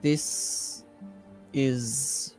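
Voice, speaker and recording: A man's voice: mid-range voice, fairly even intonation, very slow, dark tone, soft background music. A man voicing a dismissive masked harlequin woman of the theater in a tabletop game, curt and appraising.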